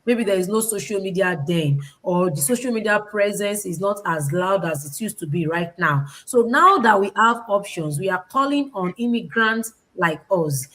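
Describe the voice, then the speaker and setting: A woman's voice: medium pitch, lively intonation, normal speed, hardly any pauses, fairly bright tone. A woman presenting informally online, emphatic, repeating phrases and asking rhetorical questions. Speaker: online presenter, business owner and educator.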